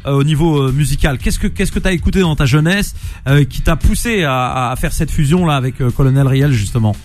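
A man's voice: a little high, fairly quick, a little mellow, soft background music. A man from France hosting a morning radio show, teasing his guests and filling gaps with hesitations. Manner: joking, teasing, many hesitations. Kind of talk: casual radio interview.

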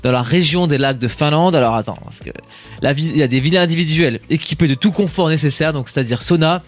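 A man's voice: fairly high pitch, quick pace. A man co-hosting morning radio, presenting a news item in a laid-back, chatty way. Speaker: radio co-host.

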